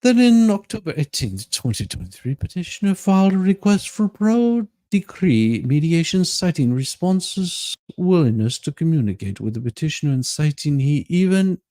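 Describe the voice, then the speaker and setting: A man's voice: high voice, darkish tone. A man giving a comedic dramatic reading of a legal document, theatrical and folksy, with mocking asides. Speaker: streamer performing a dramatic reading.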